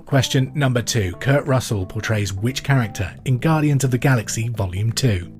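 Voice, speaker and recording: A man's voice: mid-range voice, normal speed, a little mellow, faint music behind. A man with a British accent hosting a pub quiz podcast in an informal, chatty way with jokey asides.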